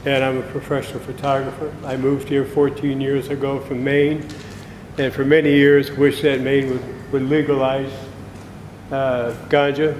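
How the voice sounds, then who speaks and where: A man in his seventies introducing himself at a meeting in a relaxed, plain-spoken way. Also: medium pitch, moderate pace, a little hiss or hum. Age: 70s. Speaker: marketing consultant and photographer. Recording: echoey room with long reverb.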